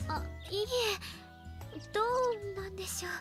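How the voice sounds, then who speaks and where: A shy, polite teenage schoolgirl with an extremely high, childlike voice. She speaks hesitantly and apologetically in anime visual novel style, over clearly audible music. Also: fast talker. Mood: puzzled, hesitant. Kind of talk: Japanese visual novel voice acting.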